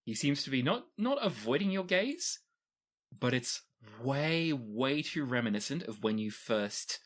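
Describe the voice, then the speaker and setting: Game master with a mid-pitched voice. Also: big pitch swings, unhurried. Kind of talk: narrating a tabletop roleplay game.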